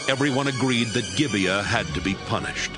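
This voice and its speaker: A man narrating scripture as a measured, scripted storyteller in an audio Bible. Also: mid-range voice, over music.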